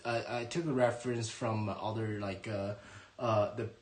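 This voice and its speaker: A man's voice: lowish voice, steady tone, unhurried, roomy sound. A man explaining his model build as a guest, hesitant and often restarting as he searches for words. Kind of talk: casual show-and-tell explanation.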